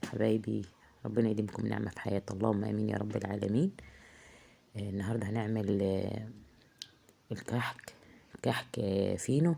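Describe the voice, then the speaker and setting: A woman with an Egyptian accent, hoarse from a heavy cold, chatting casually through a cooking tutorial in her home kitchen, halting often with drawn-out filler sounds. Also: rumbling low, fairly even intonation, mellow. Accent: Egyptian Arabic. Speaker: home cook vlogger. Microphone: phone.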